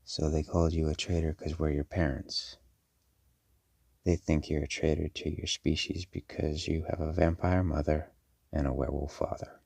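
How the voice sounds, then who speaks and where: A man playing a firm, protective werewolf father in an audio roleplay, speaking to a child in a measured, caring but stern way. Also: extremely low pitch, flat delivery, creaky, breathy edge, no room sound, full character voice. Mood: serious, protective. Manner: measured, parental, gently firm.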